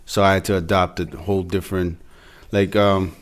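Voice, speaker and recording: A man's voice: deep voice, flat delivery, moderate pace. A man from the former Yugoslavia who grew up mostly in Serbia, talking informally about himself in a casual group discussion. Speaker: podcast cast member.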